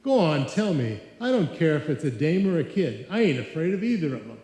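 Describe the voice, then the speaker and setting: A man's voice: fairly high pitch, big pitch swings, a little fast, dark tone. A man playing a brash, boastful, streetwise loafer boyfriend, slangy, in live radio comedy style. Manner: cocky, slangy. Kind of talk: live old-time radio comedy acting. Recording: echoey hall, live stage performance.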